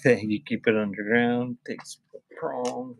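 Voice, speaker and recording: A man's voice: medium pitch, steady tone, unhurried, creaky, no room sound. A man hosting a casual live sale, reading out titles and chatting off the cuff with viewers. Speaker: online seller hosting a live cassette sale. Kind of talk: casual live-stream chat while reading out album titles.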